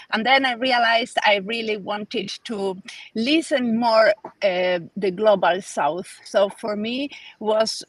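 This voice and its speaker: A woman's voice: mid-range voice, husky, no echo, poor audio. Earnest woman, dry sound. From Argentina. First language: Spanish. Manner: earnest, searching for words with pauses. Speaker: podcast host, design researcher. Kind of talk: casual panel conversation.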